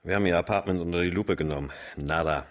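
A low-pitched actor as a middle-aged male investigator in a radio drama. He is dry and businesslike, keeping his phrases clipped and matter-of-fact. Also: fast, close to an everyday voice.

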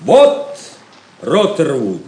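An actor playing a proud, self-possessed medieval pilgrim in an audio drama, firm and dignified. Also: male voice, fairly high pitch, huge pitch swings, extremely slow, mellow, a little hiss or hum, heavily acted. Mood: composed, guiding. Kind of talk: theatrical audio drama acting.

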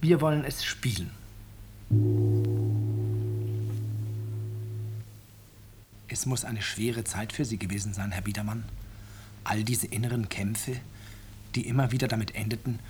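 An actor playing a polite, probing, drily ironic narrator in a 1950s radio drama, speaking in a measured, urbane way. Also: male voice, lowish voice, natural voice. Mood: ironic sympathy. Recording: noisy 1950s radio recording with clicks.

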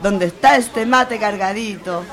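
Radio serial role: slangy Argentine woman played by an actress, mid-pitched, nosy, loves gossip. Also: measured pace, natural voice. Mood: amused. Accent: Argentine Spanish. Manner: slangy, inquisitive. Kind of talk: radio serial comedy acting.

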